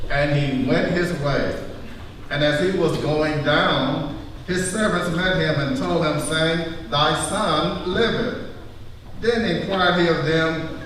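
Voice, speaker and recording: A man plays an assured disciple narrating scripture in a live church drama. He is slow and measured, with a slightly high voice in a very echoey hall. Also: fairly bright tone. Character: John, assured gospel storyteller and disciple. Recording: distant echoey hall, faint noise.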